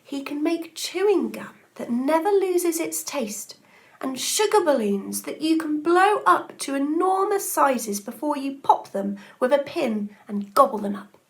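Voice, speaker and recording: A woman's voice: high voice, big pitch swings, unhurried, voice pushed up, full character voice. A woman voicing an enthusiastic old grandfather in a children's storybook, speaking with emphatic, savouring drama about marvellous things. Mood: slow, savouring wonder. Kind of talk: children's storybook read-aloud.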